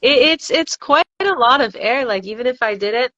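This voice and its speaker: A woman, a freediver guest on a casual podcast interview, explaining things thoughtfully and haltingly, often repeating words. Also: a little high, lively intonation, pushed and bright, dry recording, lo-fi sound.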